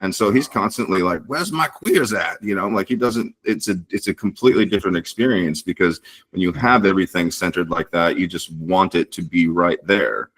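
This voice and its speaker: A man's voice: deep voice, brisk, fairly bright tone. A man hosting a podcast interview, friendly and affirming, with small laughs as he chats. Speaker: podcast host. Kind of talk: conversational interview. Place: home, New Orleans.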